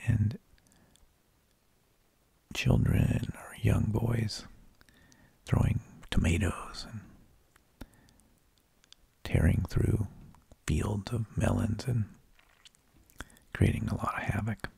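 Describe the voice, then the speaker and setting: A man whispering an ASMR narration in a hushed, breathy, gravelly voice, unhurried, with drawn-out phrases and pauses, close to the microphone. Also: fairly low pitch, fairly even intonation, no echo. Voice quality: breathy, mostly unvoiced whisper with gravelly creak. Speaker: ASMR host.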